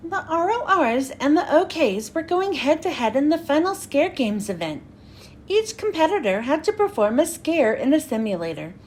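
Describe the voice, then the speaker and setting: A woman reading a children's storybook aloud as a read-aloud host, with expressive, clearly phrased storytelling. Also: high-pitched, slight background noise.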